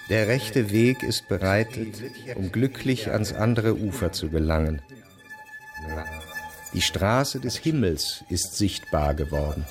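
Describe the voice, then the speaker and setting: An actor playing a solemn man who recites sacred verses in a measured way for a radio drama. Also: on the low side, lively intonation. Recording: clear music underneath.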